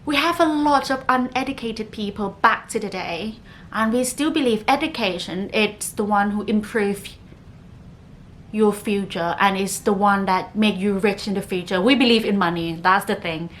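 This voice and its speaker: A woman's voice: mid-range voice, moderate pace, a bit bright, a little hiss or hum. A woman in her thirties from northern Thailand, a native Thai speaker who hosts a casual solo podcast in English with a Thai accent and a chatty, filler-heavy way of talking. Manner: chatty, leans on frequent "like" fillers. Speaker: podcast host.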